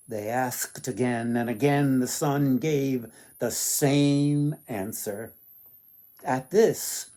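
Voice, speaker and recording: A man tells a story for young listeners in a mellow voice. He goes slowly, breaking sentences into short phrases and leaning on key words for drama. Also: medium pitch. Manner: deliberate phrasing with dramatic emphasis and pauses. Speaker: author and storyteller. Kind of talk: storybook reading for children.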